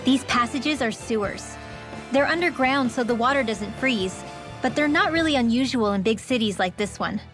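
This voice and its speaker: An actress playing an earnest, determined young rebel guide in a video game. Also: female voice, a little high, animated, normal speed, over music, close to an everyday voice.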